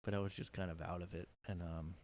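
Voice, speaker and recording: A man's voice: sits low, flat delivery, fast talker, a little mellow, slight background noise. A man hosting a casual solo podcast, rambling with lots of fillers and sounding worn out after a cold. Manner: rambling, hesitant, many fillers. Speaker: podcast host. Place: his own room.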